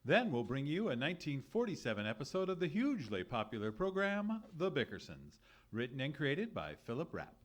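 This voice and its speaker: A man's voice: fairly high pitch, wildly swooping pitch, fairly quick. A man announcing an old-time radio show from a script, theatrical and emphatic. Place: live theatre stage.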